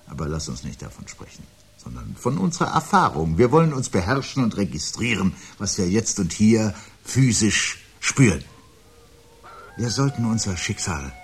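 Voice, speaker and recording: An actor playing a brooding, articulate man who calmly awaits the world's end in a radio play, speaking in a measured, formal, sardonic way. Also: fairly low pitch. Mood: insistent, grim. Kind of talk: 1970s German radio play acting. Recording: 1970s radio production with audible background noise.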